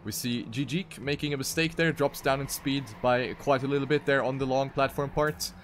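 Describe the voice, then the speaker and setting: A man's voice: mid-range voice, steady tone, moderate pace, a bit bright, a little hiss or hum. A man giving live commentary on a racing video game as an esports caster.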